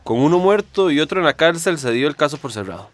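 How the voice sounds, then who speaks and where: A man's voice: a little high, very animated, fast talker. A man playing a deferential police sergeant in a radio drama, apologetic and hedging as he reports to his boss.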